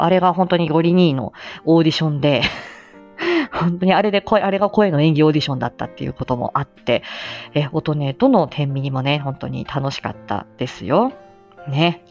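Lively low-voiced woman chatting on a livestream. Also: brisk, muffled recording, soft background music. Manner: playful, chatty, self-deprecating. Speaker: livestream host. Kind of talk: casual livestream chat.

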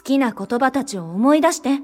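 A woman's voice: sits high, moderate pace, faint music behind. A woman acting in a Japanese radio drama as a cheeky, impulsive young woman who talks boldly and teasingly. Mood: goading. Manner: bold, teasing, expressive.

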